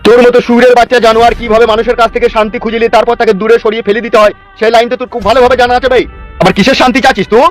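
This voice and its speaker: A man's voice: very high-pitched, level tone, very fast. A man voicing a middle-aged, hot-tempered father in a comedy cartoon, ranting and scolding over music. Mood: furious. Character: furious, foul-mouthed protective village father. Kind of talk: melodramatic comedy cartoon dubbing. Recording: music under the voice.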